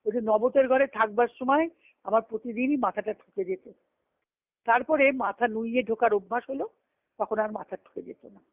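A woman's voice: a little high, moderate pace, no echo. A woman giving a devotional scripture discourse as a spiritual teacher over a conference call, explaining phrase by phrase with repetition. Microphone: conference call line. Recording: muffled lo-fi conference-call phone audio.

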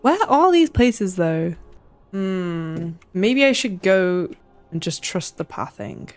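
A woman's voice: fairly low pitch, sing-song, takes it slowly, a little mellow, soft background music. A young woman giving casual, playful, chatty commentary while she plays a video game. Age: young adult. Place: small indoor room at home.

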